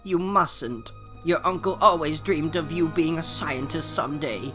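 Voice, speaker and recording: A woman playing a gentle, worried older aunt in a melodramatic comic-book reading. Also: low-pitched, a little slow, faint music behind.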